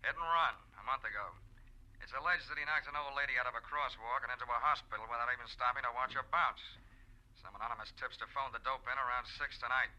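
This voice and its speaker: A man's voice: medium pitch, steady tone, fast talker, bright, forceful tone, low-quality recording. A man with an American accent playing a businesslike, slangy homicide sergeant in a 1940s radio drama. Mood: brisk, dry. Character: brisk, matter-of-fact police homicide sergeant.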